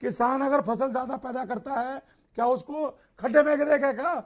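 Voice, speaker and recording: Man arguing on tv panel, super high pitch, keeps challenging, dull lo-fi audio. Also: steady tone, moderate pace. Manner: challenging, repeats questions. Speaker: debate panelist. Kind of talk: combative political debate.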